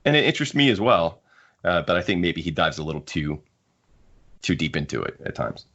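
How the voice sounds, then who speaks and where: A man's voice: low-pitched, sing-song, a little fast, fairly bright tone. A man co-hosting a casual podcast panel, talking things through at length in an analytical, discursive way. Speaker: podcast co-host. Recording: dull, muffled lo-fi audio.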